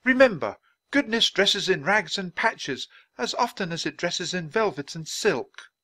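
Guy doing kindly old-fashioned gentleman author, big emphatic speechifying, voice leaps up and down, storybook style. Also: high-pitched, big pitch swings, unhurried, pitched above the performer's own voice, exaggerated voice. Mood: grand, emphatic. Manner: grand, emphatic oratory. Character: kindly, indignant English gentleman author, theatrical and warm-hearted.

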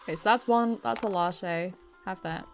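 A woman's voice: lowish voice, moderate pace, music in the background. A British woman doing casual, chatty game stream commentary, swearing freely and reacting aloud as she plays.

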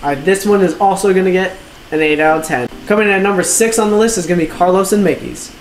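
A young man in his twenties casually reviewing restaurants to camera, relaxed and chatty, with lots of fillers and "all right?" check-ins. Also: high voice, fast talker, a little hiss or hum. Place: indoors on a couch in a living room. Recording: roomy echo, faint background music.

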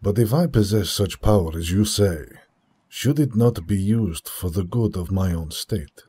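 A humble, dutiful young son from a farm, played by a man for audio drama. His voice is low and mellow, swinging widely in pitch as he speaks with earnest care. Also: expressive, unhurried, breathy edge, full character voice. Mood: earnest, respectful. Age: young adult.

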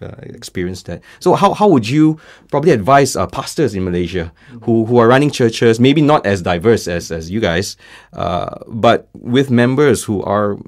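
A man hosts a radio interview in a studio, his voice sitting on the low side. He muses thoughtfully before putting careful questions to his guests. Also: darkish tone. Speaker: radio host. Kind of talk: conversational interview.

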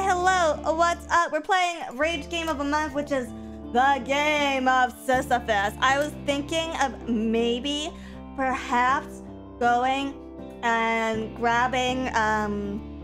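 A woman streaming a game in casual chat, a bit stuffy from a cold, going from low mumbles to loud excited yelling. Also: slow. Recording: game music behind.

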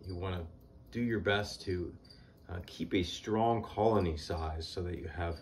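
Deep-voiced man, unhurried beekeeping talk. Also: a little hiss or hum. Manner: unhurried, many "uh" pauses, plain practical tone. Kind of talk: casual how-to talk. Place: indoors, small shed stacked with hive boxes.

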